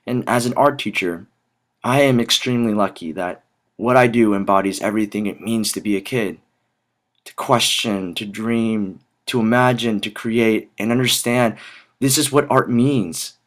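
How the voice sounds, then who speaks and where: A young American man delivering a rehearsed personal story in measured, deliberate phrases with dramatic pauses. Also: on the low side, fairly bright tone. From Dallas, Texas, United States. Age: young adult. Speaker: student art teacher telling a personal story. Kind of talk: rehearsed spoken personal narrative.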